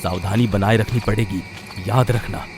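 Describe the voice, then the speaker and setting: Man as elderly mystic, low pitch, grave, resolute, emphatic, animated horror story, music underneath. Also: moderate pace, close to an everyday voice. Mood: cautioning. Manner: solemn, warning, emphatic. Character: old male tantric holy man, grave, resolute. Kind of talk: dramatic horror story acting.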